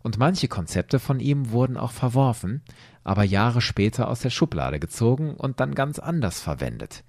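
A man's voice: medium pitch, big pitch swings, normal speed, vocal fry, darkish tone, no room sound, studio quality. A man hosting a podcast, narrating and explaining in an emphatic storytelling manner with casual asides.

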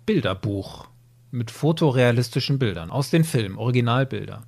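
A man's voice: mid-range voice, expressive, moderate pace, creaky, a little mellow, no echo. An enthusiastic man chatting as a podcast co-host. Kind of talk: casual discussion. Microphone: close podcast microphone.

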